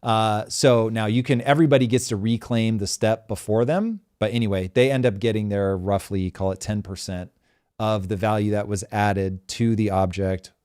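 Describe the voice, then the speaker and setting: Low-voiced man hosting a live show. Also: moderate pace, clean tone, no echo, clean studio sound. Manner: animated, explains at length with examples. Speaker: show host.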